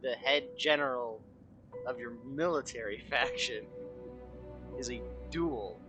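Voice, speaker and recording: A man's voice: mid-range voice, takes it slowly. A man giving casual reaction commentary as he follows a game. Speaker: gamer reacting to story. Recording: game music behind the voice.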